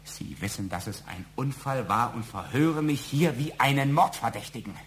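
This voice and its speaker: A man acting in a German audio drama as a fearful, indignant family man under suspicion, halting and repeating his words. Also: mid-range voice, animated, fairly bright tone, slight background noise, lightly acted. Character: flustered, fearful, indignant male murder suspect and family man.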